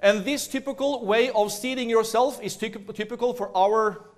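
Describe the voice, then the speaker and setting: High-pitched Norwegian man rallying a hall. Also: moderate pace. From Norway. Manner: lively, playful, urges the audience. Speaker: seminar speaker. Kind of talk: energetic business talk. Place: large hall.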